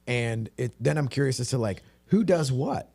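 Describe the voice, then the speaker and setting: A man's voice: medium pitch, animated, moderate pace, vocal fry, mellow, slightly rough. A man hosting a casual interview, chatty and rambling with many restarts. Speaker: podcast host.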